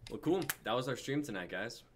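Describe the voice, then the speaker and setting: A man's voice: mid-range voice, expressive, fairly quick, noisy background. A man in his twenties hosting a casual livestream over a video call with friends. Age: 20s. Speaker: stream host. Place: indoor room on a video call.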